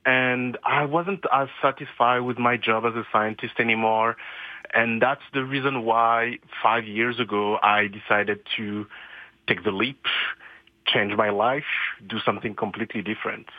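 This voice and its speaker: A man's voice: medium pitch, steady tone, measured pace, no room sound. A man speaks as a radio guest, earnest and hesitant, often restarting a phrase. His voice comes over a dull, lo-fi line. It sounds dry and close, with no room around it. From France. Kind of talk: radio interview.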